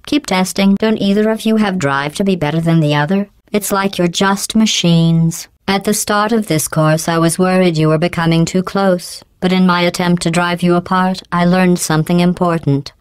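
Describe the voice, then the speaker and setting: An actress voicing a cold, passive-aggressive female AI supervisor in a video game, in a deadpan, sarcastic, mock-polite manner through a robotic voice filter. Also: on the low side, normal speed, few pauses, clear, resonant tone, dry recording. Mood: dry sarcastic. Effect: robotic voice processing.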